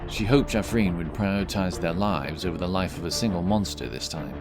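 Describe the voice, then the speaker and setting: A man's voice: deep voice, fairly quick, loud music behind the voice. A man reading scripted, dramatic narration for a fantasy adventure story.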